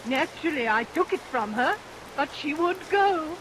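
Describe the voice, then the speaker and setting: An indignant victorian lady, really high and declamatory, old radio drama, loud rain noise over it. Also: expressive, moderate pace. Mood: indignant, scolding. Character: indignant, defiant woman of Victorian melodrama. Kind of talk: old-time radio melodrama acting. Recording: noisy vintage radio recording under rain sounds.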